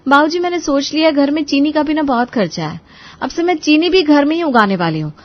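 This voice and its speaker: A woman voicing a sharp-tongued, scheming young daughter-in-law in a cartoon moral story, sarcastic and emphatic. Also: high-pitched, expressive, brisk, highs cut off. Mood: sly, coaxing. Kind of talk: animated Hindi moral story dialogue.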